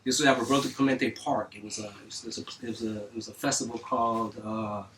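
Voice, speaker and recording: A middle-aged man from North Miami telling stories in a casual studio interview, animated and full of street slang and cursing. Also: on the low side, fast talker. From North Miami, Florida. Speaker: music engineer guest. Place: recording studio. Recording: distant mic, faint noise.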